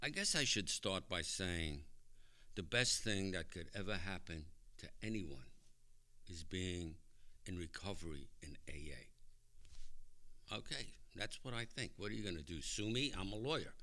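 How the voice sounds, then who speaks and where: An older man giving a personal testimony to a group, speaking in halting phrases with dry asides. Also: low voice, animated, bright, forceful tone. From Hungary. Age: older, born 1944. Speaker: speaker at a recovery meeting, lawyer.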